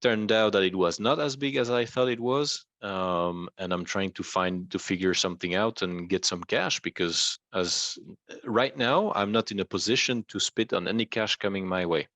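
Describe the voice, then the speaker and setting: Low-voiced man, close and dry. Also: normal speed, a bit bright, no room sound. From Belgium. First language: not English. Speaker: interview guest, rank and rent website entrepreneur. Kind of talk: explanatory conversational talk.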